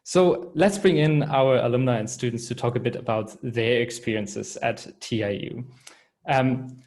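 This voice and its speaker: A man's voice: mid-range voice, normal speed, darkish tone. A man moderating an online webinar over a video call, hesitant with many fillers.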